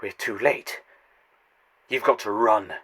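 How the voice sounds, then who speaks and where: A man's voice: fairly low pitch, slow, pushed and bright, slightly rough, heavily acted. A man playing a sarcastic, scornful alien. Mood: urgent. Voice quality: sarcastic, condescending. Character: arrogant sarcastic male alien Eternal guide. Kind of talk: fan audio drama.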